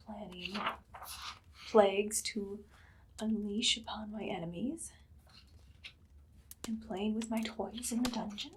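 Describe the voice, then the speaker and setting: A woman playing an imperious, idly cruel evil queen in an ASMR roleplay, soft-spoken and often whispering in a languid, condescending way. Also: medium pitch, background noise, natural voice. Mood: bored, haughty. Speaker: ASMR performer.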